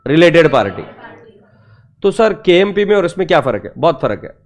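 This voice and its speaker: Lively man teaching an accounting class. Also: fairly high pitch, a little fast. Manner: lively teacher who keeps quizzing students with rhetorical questions; teasing and playful with students. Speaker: accounting lecturer. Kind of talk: classroom lecture in mixed Hindi and English.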